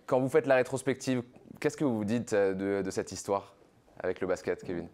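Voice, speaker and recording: A man's voice: on the low side, brisk, a little mellow. A man hosting a sports talk show, presenting and interviewing conversationally in short, hesitant bursts. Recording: music under the voice. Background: music.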